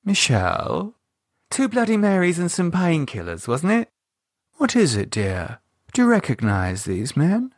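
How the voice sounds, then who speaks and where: Man doing a drawling posh lady, world-weary, raised pitch, dry close sound. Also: wildly swooping pitch, measured pace, no room sound, exaggerated voice. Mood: concerned. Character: languid upper-class English woman, weary, refined. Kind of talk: audiobook character voice.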